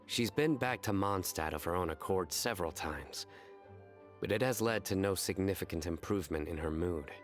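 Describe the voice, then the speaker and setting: Casting: actor for grave young game lawman, deep-pitched, measured and serious. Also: soft background music, natural voice. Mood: grave, caring. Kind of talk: video game cutscene acting.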